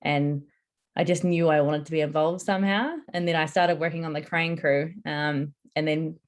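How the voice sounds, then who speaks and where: A woman's voice: deep voice, steady tone, normal speed. A young woman answering interview questions in a casual, earnest and enthusiastic way. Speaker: interviewee, construction apprentice.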